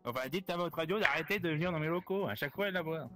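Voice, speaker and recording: A man voicing a mischievous, cocky male imp who talks in a quick, joking way. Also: on the high side, sharp tone, no room sound, soft background music.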